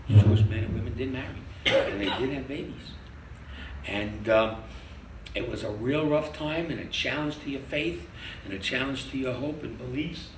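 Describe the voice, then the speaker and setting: An older man teaching a lively interactive Torah class as a rabbi, quizzing his audience and joking. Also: medium pitch, moderate pace. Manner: animated, quizzes the audience, jokes. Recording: echoey room from far off with noise.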